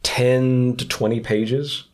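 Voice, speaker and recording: A man's voice: mid-range voice, steady tone, slow pace, a little mellow. A man talking casually as an interview guest, explaining his creative work with enthusiasm. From expat living in South Korea. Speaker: podcast guest, screenwriter and audio drama creator.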